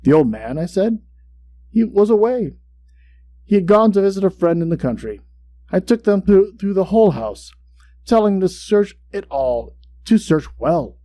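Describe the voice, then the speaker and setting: A man playing an obsessive, boastful mad murderer who narrates a gothic horror tale in a dramatic, deliberate way. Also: high voice, sing-song, voice pushed up. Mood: feigned calm. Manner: dramatic, deliberate, swinging between hush and outbursts. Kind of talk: theatrical gothic horror storytelling. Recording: clear background hum and noise.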